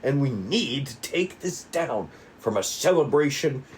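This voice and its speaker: A man's voice: medium pitch, animated, measured pace, a little mellow, voice pushed up, natural voice. Guy doing a young noir detective, stammery and earnest, polite but stubborn, some background noise. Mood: defiant, insistent. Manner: stammering, overly deferential, fervently insistent. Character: earnest, stubborn young male detective, fawning with his boss. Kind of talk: 1940s noir cop drama table read.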